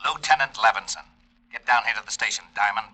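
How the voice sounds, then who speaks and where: A grumbling radio-play police lieutenant, sarcastic and irritable. He talks fast. His voice is very bright. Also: on the low side, low-quality recording. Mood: gruff. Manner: gruff, sarcastic. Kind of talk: 1950s radio acting.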